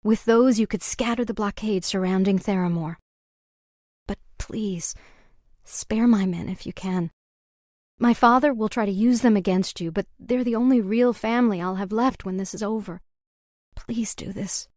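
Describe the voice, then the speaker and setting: A woman playing a gentle, sorrowful young noblewoman in a fantasy game, pleading softly and earnestly. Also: medium pitch, normal speed, no room sound, clean studio sound, lightly acted. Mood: sad, resigned. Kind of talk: fantasy video game acting.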